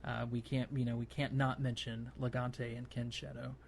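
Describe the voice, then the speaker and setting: A man co-hosting a casual gaming podcast, talking in a halting, rambling way with repeated words. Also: mid-range voice, flat delivery, creaky, slight background noise. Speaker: podcast co-host.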